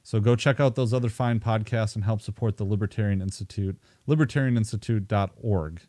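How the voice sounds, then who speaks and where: A man hosting a podcast, his voice low and mellow. The recording is dry and close, with no room sound. He talks casually, sprinkling in fillers as he reads plugs and questions his guest. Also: fairly quick, no echo. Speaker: podcast host. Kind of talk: podcast host reading plugs and asking questions.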